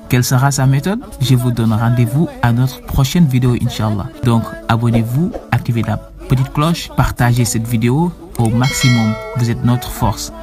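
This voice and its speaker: Animated male host with background music. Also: mid-range voice. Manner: animated, emphatic, promotional. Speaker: channel host. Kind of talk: playful puppet-show hosting.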